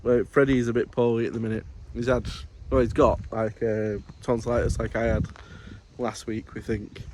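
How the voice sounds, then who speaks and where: A man's voice: medium pitch, flat delivery, normal speed, darkish tone, slight background noise. A man giving a casual, rambling vlog update as a courier driver, in colloquial everyday speech.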